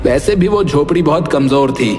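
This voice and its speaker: A man playing a spoiled, defiant young prince in an animated moral story, arrogant and dismissive in manner. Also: fairly high pitch, normal speed, natural voice. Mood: petulant, dismissive. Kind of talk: dramatic animated story dialogue. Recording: echoey hall reverb, music behind.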